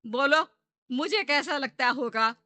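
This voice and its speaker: A woman voicing a bossy, sharp-tongued middle-aged mother-in-law who scolds bluntly in a moral story cartoon. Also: high-pitched, slow, no echo, voice pushed up, heavily acted. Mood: indignant. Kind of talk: animated moral-story character acting.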